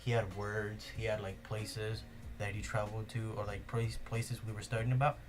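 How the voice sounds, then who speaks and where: A young man with a Spanish accent hosts a casual podcast, rambling through stories with plenty of "like" and "uh". His voice sits a little low. Music plays clearly behind him. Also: little pitch movement, moderate pace. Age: young adult. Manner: rambling, many fillers and restarts. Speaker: podcast host. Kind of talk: casual chat.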